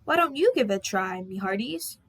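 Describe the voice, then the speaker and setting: A woman's voice: mid-range voice, lively intonation, brisk, fairly bright tone. A woman voicing a cheery male seafaring train engine from a storybook, with hearty, exclaiming sailor talk. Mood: hearty, excited. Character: cheery male seafaring dock engine who loves tales.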